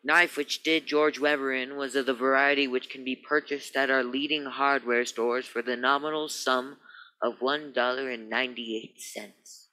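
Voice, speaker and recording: A man's voice: medium pitch, fairly even intonation, normal speed, sharp tone, natural voice, poor audio. A man playing a wordy, comically formal police sergeant in a radio play, with a florid, officious, eager manner. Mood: pompous, eager.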